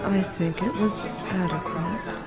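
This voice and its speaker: A woman's voice: fairly low pitch, steady tone, takes it slowly, over music. A woman playing an envious, irritable Victorian woman writer in an audio drama, theatrical and sardonic. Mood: guarded, cool.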